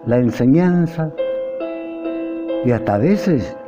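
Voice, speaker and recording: An older Argentine man reciting a nostalgic poem in a measured, declamatory way, with phrases that rise and fall. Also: medium pitch, lively intonation. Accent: Argentine Spanish. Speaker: poetry reciter. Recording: dull lo-fi recording with music. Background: music behind the voice.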